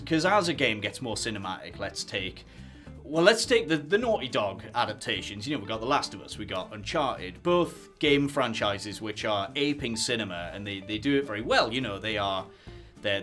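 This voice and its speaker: A man co-hosting a podcast, laying out his argument in a measured way with asides. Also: lowish voice, expressive, fairly bright tone, faint music behind. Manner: measured, argues a thesis with asides. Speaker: podcast co-host. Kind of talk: casual podcast chat.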